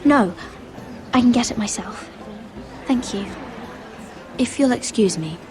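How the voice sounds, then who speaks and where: A woman playing a shrewd young noblewoman in a fantasy game, speaking politely and with measured care. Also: a little high, lively intonation. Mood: flustered, polite. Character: poised, shrewd young noblewoman scheming at court. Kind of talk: fantasy video game acting. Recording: music behind the dialogue.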